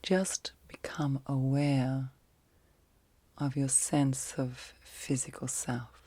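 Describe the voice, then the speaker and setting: Slow, whispery woman guiding sleep meditation. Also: very deep voice, flat delivery, no room sound. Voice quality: soft, breathy, often whispered. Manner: gentle, soothing, long pauses between short phrases. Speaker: guided meditation host. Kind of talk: ASMR guided sleep meditation.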